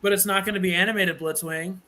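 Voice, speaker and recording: A man hosting a live show, chatting casually and enthusiastically, sometimes halting over his words. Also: high voice, fairly even intonation, pushed and bright. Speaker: host. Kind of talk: casual chat.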